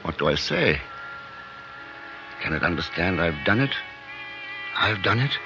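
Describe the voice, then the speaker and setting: An actor in a 1950s radio drama playing an obsessed scientist, speaking in intense, halting bursts, awed and fearful of what he has made. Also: low-pitched, very animated, over music, heavily acted. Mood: awed, agitated. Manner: intense, halting, talking to himself in short bursts. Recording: dull 1950s radio recording.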